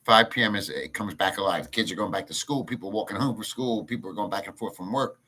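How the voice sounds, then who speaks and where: A man's voice: lowish voice, fast talker, pushed and bright, slightly rough. Blunt, crude man ranting on stream. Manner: blunt, crude, rambling, reads chat aloud. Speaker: livestream host. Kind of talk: casual ranting livestream chat. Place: home in a rural province.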